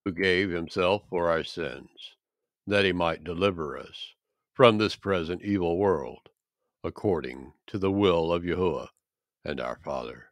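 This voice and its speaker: A man reading a Bible chapter aloud in a measured, deliberate, solemn style. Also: deep voice, fairly bright tone, no room sound. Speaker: scripture reader.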